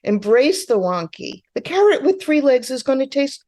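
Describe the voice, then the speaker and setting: A woman speaks as an interview guest in a fairly high voice. She explains things conversationally, with excitable emphasis, and slips into quoting other people as she goes. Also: normal speed. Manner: excitable, emphatic, acts out quotes. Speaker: interview guest, food rescue organizer.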